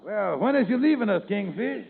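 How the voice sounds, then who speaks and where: An actor playing an easygoing, good-natured friend in a 1940s radio comedy, with a gravelly drawl. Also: male voice, high-pitched, animated, fairly quick, full character voice. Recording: tinny old radio broadcast recording.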